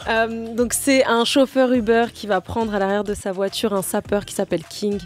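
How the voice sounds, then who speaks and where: A woman guest in a radio studio, talking in a casual interview with a lively manner and laughing asides. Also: a little high, moderate pace, loud music behind the voice. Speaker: guest actress.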